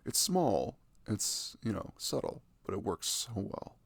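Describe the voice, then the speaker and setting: Animated young man with a low, creaky voice. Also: measured pace, no echo. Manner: enthusiastic, rambling, slangy. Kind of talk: casual unscripted chat about video games.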